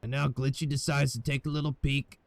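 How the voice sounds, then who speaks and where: A man's voice: mid-range voice, level tone, a little fast, vocal fry. A man calling the play-by-play of a match live, with joking, playful banter. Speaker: esports play-by-play caster. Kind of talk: live game commentary. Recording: game gunfire and engine noise under voice.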